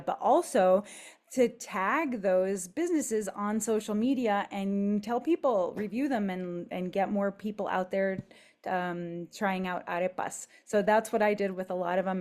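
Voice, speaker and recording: A woman's voice: mid-range voice, darkish tone. A woman hosting a conversation, talking casually and informally. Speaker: host, book publisher.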